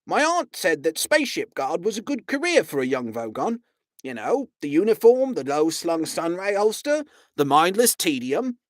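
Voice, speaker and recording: A man voicing a huge, dim young male alien guard who shouts a stock phrase in loud barks and turns slow and puzzled when questioned. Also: sits high, very animated, no room sound, clean studio sound, voice pushed up.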